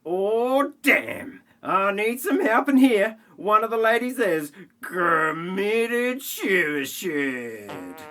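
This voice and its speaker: A man's voice: high voice, very animated, extremely slow, hardly any pauses, sharp tone, exaggerated voice. A man playing a bossy, irritable household grump in an amateur parody audio play, scolding in a blunt, stilted way. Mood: irritated.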